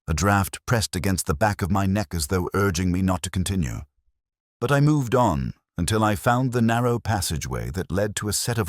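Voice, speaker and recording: A man narrating a first-person detective story in a measured, brooding literary manner. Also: deep voice, dry recording, studio quality.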